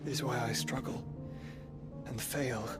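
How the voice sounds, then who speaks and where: Man playing young British fantasy nobleman, formal archaic speech, measured and grave, higher-set male voice, music behind. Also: fairly even intonation, lightly acted. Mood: weary, resolute. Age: young adult. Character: grave, learned young nobleman bearing fire powers. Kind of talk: dramatic fantasy game voice acting. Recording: dramatic music under the voice.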